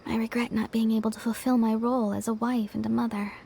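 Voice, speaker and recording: A woman playing a gentle, regretful young mother and wife in a video game, speaking wistfully. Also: on the high side, level tone, fast, creaky, a little hiss or hum. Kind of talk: animated video game voice acting.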